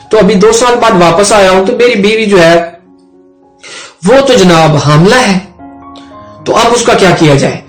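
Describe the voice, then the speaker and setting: A man with a Pakistani accent giving an informal explanatory law lesson, conversational and often repeating and rephrasing his points. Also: sits high. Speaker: advocate and legal educator. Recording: roomy echo, music underneath.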